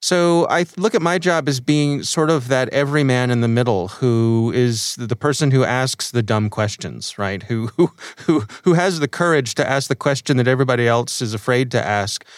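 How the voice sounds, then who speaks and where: A man's voice: medium pitch, normal speed, hardly any pauses, no echo, clean studio sound. Middle-aged American man telling his story. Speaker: podcast host. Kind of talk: reflective personal storytelling for a podcast.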